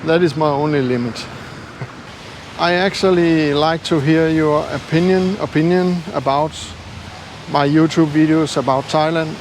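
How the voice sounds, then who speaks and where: An older man with a non-native English accent, talking casually and haltingly to viewers in a self-deprecating way as a travel vlogger. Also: a little high. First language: not English. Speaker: travel YouTuber. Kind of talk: casual vlog talk to camera. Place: outdoors. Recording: noisy outdoor street ambience with traffic.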